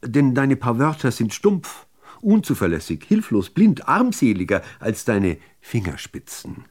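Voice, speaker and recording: A man reciting literary poetry in deliberate, measured phrases with pauses between them. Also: medium pitch, huge pitch swings, a little mellow. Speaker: reader of poetry.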